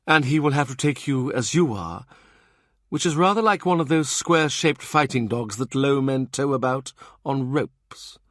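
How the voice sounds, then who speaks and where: A man voicing a worldly older cardinal with a dry, teasing, smoothly persuasive manner in an audiobook. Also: mid-range voice, moderate pace, fairly bright tone, no room sound, natural voice. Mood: wry teasing.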